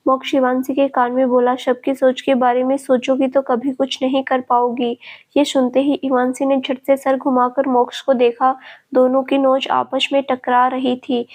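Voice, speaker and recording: A woman narrating a scripted family story at a steady, even reading pace. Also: high voice.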